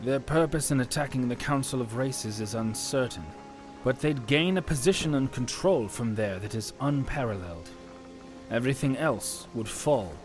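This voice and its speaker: A man's voice: medium pitch, lively intonation, normal speed, over music, voice pushed up, natural voice. Man doing an earnest, worried hero, shift+. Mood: grave. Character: earnest, worried male hero weighing war.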